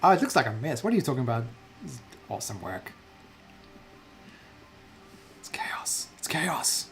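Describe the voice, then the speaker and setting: A man's voice: mid-range voice, sing-song, moderate pace, gravelly, fairly bright tone, soft background music. A South African man chatting casually while livestreaming a digital painting, muttering self-deprecating remarks to himself as he works.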